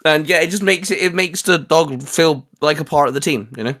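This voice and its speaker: Halting man chatting about geeky things. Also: fairly high pitch, fairly bright tone. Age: adult, a parent. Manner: halting, repetitive, stammered word starts. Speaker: host. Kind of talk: casual geek chat.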